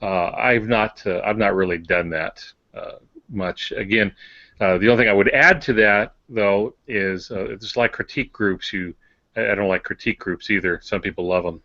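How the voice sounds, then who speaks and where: Older male co-host, lowish voice, hesitant casual talk with dry wit, close dry but slightly dull sound. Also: normal speed, pushed and bright, no room sound. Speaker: podcast co-host and published author. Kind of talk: casual conversation.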